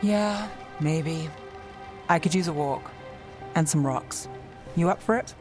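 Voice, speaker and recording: An actress playing a dry, weary, streetwise investigator with a laconic, deadpan delivery in a fantasy video game. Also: female voice, low-pitched, slow. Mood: deadpan, casual. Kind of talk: naturalistic video game acting. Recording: game music behind the voice.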